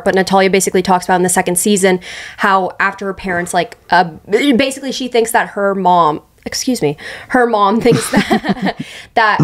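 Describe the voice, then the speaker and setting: Young woman, American accent, casual podcast chat, rambling, conversational, mid-range voice, close mic. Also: medium pitch. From Allentown, United States. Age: 20s. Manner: rambling, peppered with "like". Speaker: podcast co-host. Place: small tiled bathroom, indoors. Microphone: podcast mic on boom arm, close.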